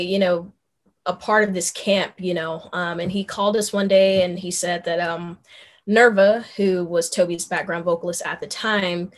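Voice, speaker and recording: A young woman from Memphis, a musician guest telling stories in a relaxed chat, with lots of ums and you knows. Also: mid-range voice. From Memphis, Tennessee, USA. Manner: chatty storyteller with many ums and you knows. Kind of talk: casual interview chat.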